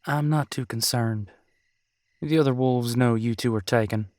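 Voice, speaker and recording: A man's voice: mid-range voice, moderate pace, darkish tone, dry recording, clean studio sound, natural voice. A man playing an easygoing, protective, brotherly werewolf in a casual roleplay audio drama. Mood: earnest, protective. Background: crickets at night.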